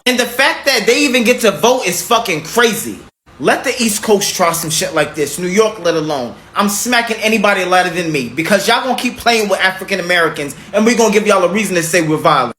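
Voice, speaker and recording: A man's voice: high-pitched, bright, forceful tone. A man ranting angrily and profanely to camera. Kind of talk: angry rant to camera.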